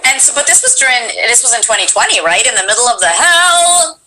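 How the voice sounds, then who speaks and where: A woman voice actress hosting a casual chat, effusive and gushing, with a fairly deep voice for a woman. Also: sing-song, a little fast, crowd noise, low-quality recording. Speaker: voice actress hosting a stream interview.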